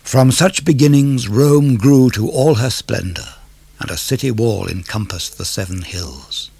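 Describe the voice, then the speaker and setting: A man gives a measured, declamatory dramatic reading of classical poetry for radio. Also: mid-range voice, vocal fry, mellow, husky, no echo. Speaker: poetry reader.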